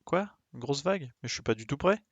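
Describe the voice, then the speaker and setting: A man's voice: on the high side, lively intonation, fast talker, slightly rough, no room sound, muffled recording. A man giving casual live game commentary, muttering his reactions to himself. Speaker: game streamer.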